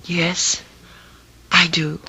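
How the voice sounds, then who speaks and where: An actress playing an anguished, pleading grown daughter in a radio drama, with a breathy voice. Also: female voice, lowish voice, level tone, drawn-out words, pushed and bright, exaggerated voice, low-quality recording. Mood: subdued. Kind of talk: old-time radio drama acting.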